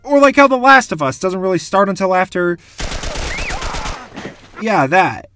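A man's voice: high voice, a little slow, slight background noise. A young man giving enthusiastic, gushing scripted commentary as a video game reviewer. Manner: gushing, animated.

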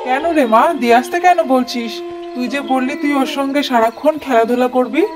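A woman's voice: high voice, fairly quick, noisy background, voice pushed down, close to an everyday voice. A woman voicing a doting male ghost father in a children's cartoon, indulgent and reassuring. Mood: puzzled.

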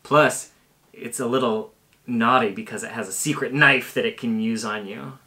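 A man's voice: mid-range voice, fairly even intonation, moderate pace, sharp tone, slight background noise. A man in his thirties casually explaining puppet-making as a presenter, recorded in a home kitchen.

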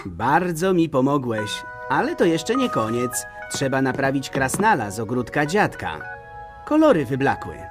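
A man voicing a gentle, patient father dog in a children's cartoon dub, playful, encouraging and expressive. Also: mid-range voice, normal speed, over music, natural voice. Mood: instructive.

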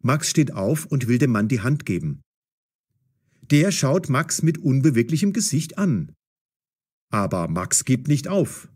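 Expressive male storyteller, slightly husky. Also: medium pitch, unhurried. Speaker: audiobook narrator. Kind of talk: scripted narration of a learners' German audio story.

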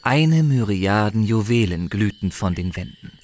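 A man's voice: fairly low pitch, animated, measured pace. A man narrating a scripted fantasy audio drama in a theatrical, suspenseful storytelling manner. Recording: voice under loud music. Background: loud dramatic music.

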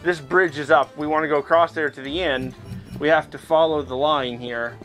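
A man giving casual, excitable commentary as he plays a virtual reality game, reacting aloud as he goes. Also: fairly high pitch, moderate pace, over music. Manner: excitable, reacting aloud while physically playing. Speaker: video game streamer.

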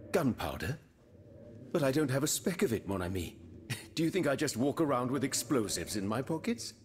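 An actor playing a dry, indignant gentleman scientist in a video game, brusque yet courteous. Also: mid-range voice, big pitch swings, normal speed, natural voice. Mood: incredulous, dry. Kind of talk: video game cutscene acting.

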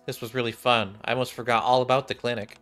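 Young male game streamer, mid-pitched voice, read-aloud of story dialogue in a small room, game music behind. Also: fairly even intonation, quick pace, fairly bright tone.

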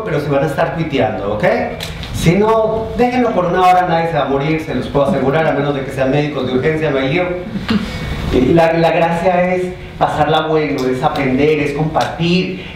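Colombian male conference speaker, middle-aged, fairly high voice, conversational, very reverberant hall. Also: darkish tone. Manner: conversational, addresses the audience with questions and check-in tags. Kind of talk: informal conference presentation. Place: large indoor conference hall. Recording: echoey conference hall, distant microphone, noisy.

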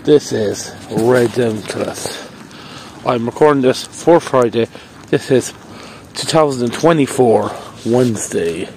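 A man in his thirties rambles cheerfully while on a walk, now and then breaking into playful sing-song bits. He speaks at an everyday mid pitch into a phone held at arm's length outdoors, with faint open-air ambience behind him. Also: takes it slowly, a little mellow, slight background noise, low-quality recording. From Ireland. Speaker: vlogger. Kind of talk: casual walking vlog. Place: outdoor park path in falling snow.

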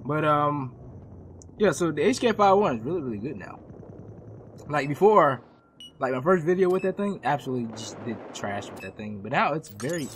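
A young man giving casual, profanity-laced commentary over his own console shooter gameplay, chatty and quick to laugh at himself. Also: on the high side, animated, slight background noise. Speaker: gaming YouTuber.

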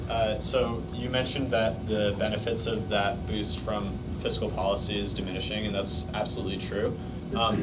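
Young male student, flat steady answer, distant noisy hall. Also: lowish voice. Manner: steady, even-toned answer with fillers. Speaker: student presenter. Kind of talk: answering an audience question.

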